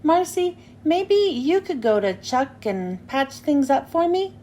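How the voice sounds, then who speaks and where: A brash, scolding young girl from a storybook, acted out by a woman. The voice is high and very animated, with some background noise on the recording. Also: slow pace, exaggerated voice. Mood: sheepish. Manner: blunt, scolding. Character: brash, indignant young girl demanding a proper dinner. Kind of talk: children's storybook read-aloud.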